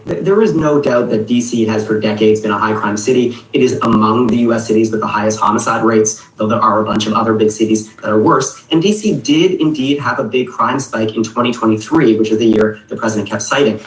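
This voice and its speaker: A man's voice: on the low side, a little fast, few pauses, roomy sound, recorded from a distance, rough recording. A man delivering a news fact-check in a broadcast style.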